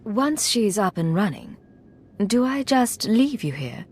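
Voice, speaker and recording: An actress playing a composed, commanding intelligence agent in a video game, with a clipped, businesslike manner. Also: female voice, mid-range voice, very animated, slow, darkish tone, breathy edge, exaggerated voice. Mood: earnest.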